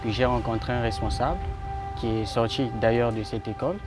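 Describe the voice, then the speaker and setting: A man's voice: medium pitch, fairly even intonation, a little fast, loud music behind the voice. A man from the Republic of the Congo, an architecture student, answering interview questions in a thoughtful, hesitant way with many fillers. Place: outdoors in a city.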